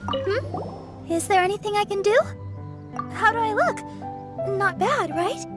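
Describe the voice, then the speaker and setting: A woman's voice: extremely high pitch, animated, takes it slowly, full character voice. A woman voicing a sweet, eager-to-please teenage girl performer in a video game. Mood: eager, shy. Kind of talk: video game character acting. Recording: music playing behind the voice.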